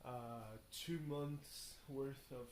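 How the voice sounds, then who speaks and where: A tired young man rambles casually through a video diary, halting and thinking aloud, often questioning himself. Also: medium pitch, steady tone. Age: young adult. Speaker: video blogger. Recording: roomy echo, faint background noise.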